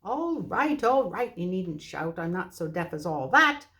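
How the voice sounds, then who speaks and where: A woman's voice: fairly low pitch, sing-song, a little slow, full character voice. A woman voicing an old, deaf, irritable male dwarf lord in a storybook reading. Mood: grumpy. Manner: irritable, half-shouting.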